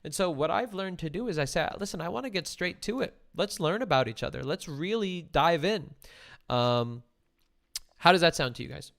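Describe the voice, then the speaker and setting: A man coaching two guests through a practice date, speaking with encouragement and plain instructions. His voice sits a little high for a man and comes across smooth and clean. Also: lively intonation, normal speed, fairly bright tone. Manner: encouraging, direct, gives instructions. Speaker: relationship coach and host. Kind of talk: coaching speed-dating guests.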